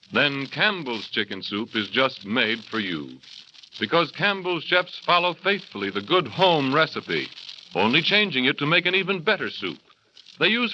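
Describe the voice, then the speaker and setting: 1930s radio commercial announcer, man. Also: mid-range voice. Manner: warm, nostalgic, persuasive delivery. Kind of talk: scripted 1930s radio advertisement. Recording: dull, hissy 1930s radio broadcast.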